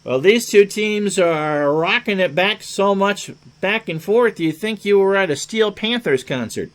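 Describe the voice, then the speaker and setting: A man's voice: high-pitched, animated, a little slow. A man doing chatty play-by-play hockey commentary, mixing clipped calls of the action with joking asides.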